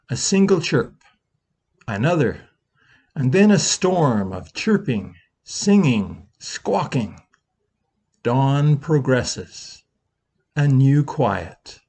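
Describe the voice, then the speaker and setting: A man in his sixties, a minister, reading a slow, reflective Easter meditation from his living room over a webcam, with long pauses. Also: medium pitch, big pitch swings, darkish tone, breathy edge.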